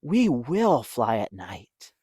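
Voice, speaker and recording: Storybook role: narrator performing young female bat, excitable and earnest, animated pitch, close dry sound. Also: slow, husky, dry recording, exaggerated voice. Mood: eager, excited. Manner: eager, earnest, exclaiming. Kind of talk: children's storybook read-aloud.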